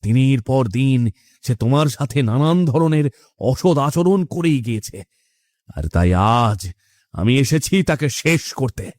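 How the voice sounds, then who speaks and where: A man's voice: medium pitch, expressive, a little slow, a little mellow, no echo, studio quality, lightly acted. An actor playing the stern, reproachful ghost of a wronged father in a horror cartoon story. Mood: stern, bitter.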